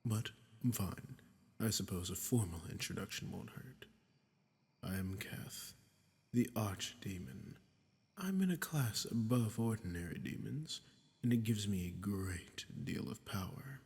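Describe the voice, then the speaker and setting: A man playing a smug, sadistic archdemon in a scripted audio roleplay, speaking to the listener with condescending menace and breathy murmurs. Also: lowish voice, animated, measured pace, a little mellow. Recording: long cavernous reverb.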